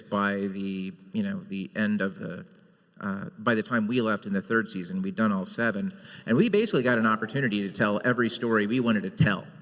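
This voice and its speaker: A man's voice: fairly low pitch, fairly bright tone. A man recollecting casually as a panelist in a reverberant live hall. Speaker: panelist, TV writer.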